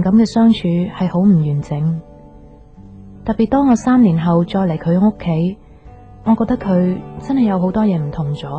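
A woman's voice: fairly low pitch, close to an everyday voice. An actress with a Hong Kong Cantonese accent playing a young student girlfriend back from abroad, conversational, in a radio drama. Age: young adult. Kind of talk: naturalistic radio drama narration and dialogue. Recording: slightly dull radio recording, faint guitar music.

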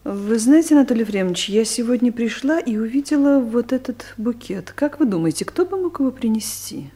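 A woman's voice: fairly high pitch, lively intonation, a little fast, dark tone, lightly acted. An actress playing a stern, easily offended middle-aged female director, curt and commanding, in a Soviet radio comedy. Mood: probing, accusing.

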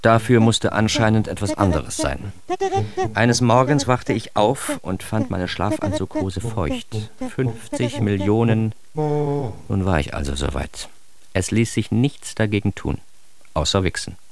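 A man's voice: fairly low pitch, big pitch swings, a little slow, over a noisy crowd. An actor playing a grown son narrating his embarrassed adolescence in a radio drama, with an ironic, mock-formal, theatrically indignant delivery. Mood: wry, confessional.

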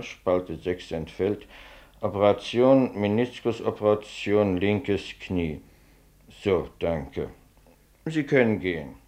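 Brisk, muffled company doctor. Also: male voice, fairly low pitch, a little mellow. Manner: clipped questions, hemming, casually authoritative. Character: brisk, routine-minded male company doctor. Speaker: actor. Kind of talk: German radio play acting.

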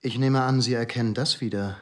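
A man's voice: medium pitch, steady tone, fairly quick, very noisy, close to an everyday voice. A man playing a formal, insistent police inspector who questions in a clipped way in a radio crime drama. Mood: probing.

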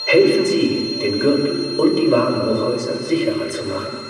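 A male actor in a German radio drama playing a solemn, hypnotic propaganda announcer with a measured, repetitive delivery. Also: mid-range voice, natural voice. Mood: solemn, reassuring. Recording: echoing hall, distant, music behind.

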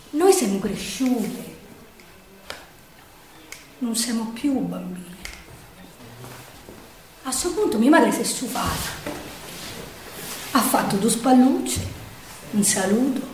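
A woman's voice: on the high side, a little hiss or hum. An actress playing a weary, earthy working-class Roman woman, telling her story in a colloquial theatrical monologue with a Roman accent. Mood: bitter. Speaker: stage actress. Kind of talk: theatrical dialect monologue in verse. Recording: roomy, distant stage recording.

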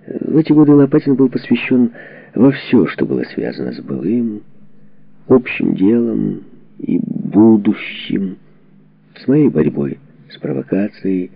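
A man reading a memoir as a scripted audiobook narration, with measured, dramatic phrasing and expressive delivery. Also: lowish voice, creaky, no echo. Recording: dull, muffled lo-fi audio.

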